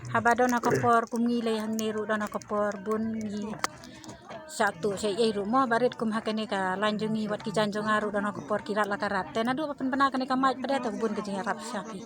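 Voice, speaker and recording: A woman's voice: medium pitch, little pitch movement, quick pace, a crowd in the background. A woman giving casual, unscripted answers in an interview. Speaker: local interviewee.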